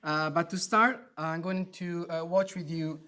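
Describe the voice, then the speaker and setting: A surgeon from Italy with an Italian accent gives an academic lecture, pausing often with fillers and restarting phrases. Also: male voice, on the high side, sharp tone, slight background noise. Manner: hesitant, many fillers and restarts. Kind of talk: academic lecture at grand rounds. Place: lecture hall.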